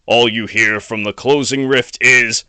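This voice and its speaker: A man's voice: mid-range voice, steady tone, a little slow, sharp tone, clean tone, no room sound. A man narrating a scripted action audio drama as a storyteller. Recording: noisy home recording.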